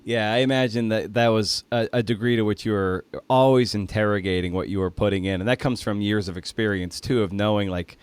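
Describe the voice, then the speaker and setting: A man hosting a casual interview podcast, talking in a thoughtful, halting way with trailing asides. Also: lowish voice, steady tone, clean tone, dry recording. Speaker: podcast host interviewing a writer.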